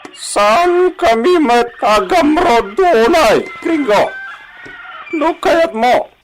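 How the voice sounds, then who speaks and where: A man's voice: very high voice, lively intonation, drawn-out words, exaggerated voice, poor audio. An actor playing a sly, fawning male bandit in a radio drama, with a drawling, chuckling delivery. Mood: sly, coaxing. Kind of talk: radio drama acting in Philippine regional drama.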